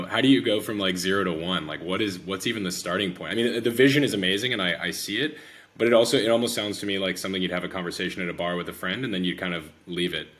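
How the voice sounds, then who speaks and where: A man's voice: low voice, a bit bright. An American man hosting a conversational interview, asking thoughtful, long-winded questions. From United States. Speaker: podcast host and interviewer.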